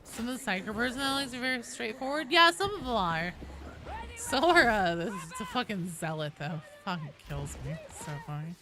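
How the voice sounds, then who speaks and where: A woman's voice: on the high side, lively intonation, a little fast. A woman game streamer chatting casually and conversationally over gameplay, with some swearing. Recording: noisy game audio behind the voice.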